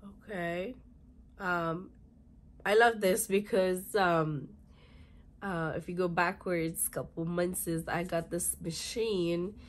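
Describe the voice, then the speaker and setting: A woman in her thirties, hoarse and raspy from a cold, chatting through a beauty unboxing and reading product labels aloud in a halting way, in a small room. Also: lowish voice, steady tone. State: hoarse, congested from illness. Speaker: beauty vlogger. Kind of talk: casual unboxing chat. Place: small bedroom with fluffy chair, indoors.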